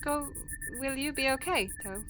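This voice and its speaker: A woman's voice: high voice, normal speed, natural voice. A woman playing a loyal alien security officer in an audio drama, framing her sentences with clipped, odd tic words. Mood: dutiful, concerned. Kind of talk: science fiction audio drama acting. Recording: noticeable background noise.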